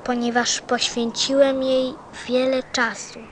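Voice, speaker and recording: High, slow little boy prince played by a woman. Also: flat delivery, background noise. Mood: thoughtful. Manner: gentle, thoughtful, sincere. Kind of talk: audio play acting.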